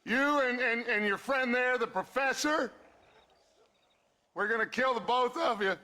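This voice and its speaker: A very high, forceful voice for a grandiose outlaw boss in a Western game. He takes his time over every taunt, theatrical and menacing. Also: unhurried, heavily acted. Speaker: actor in a video game cutscene.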